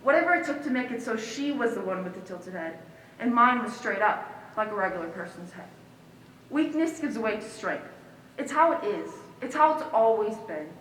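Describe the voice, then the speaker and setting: Quirky aggrieved young woman, played by an actress on stage, highish voice, far from the mic. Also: animated, normal speed, a bit bright. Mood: earnest, indignant. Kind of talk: comic theatrical stage monologue. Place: stage in a hall. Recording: echoey hall from far off, faint noise.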